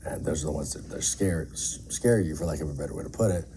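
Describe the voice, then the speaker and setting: Middle aged guy w a really deep voice, earnest n emphatic interview answers, bit of background noise. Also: fast. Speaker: air traffic controller being interviewed.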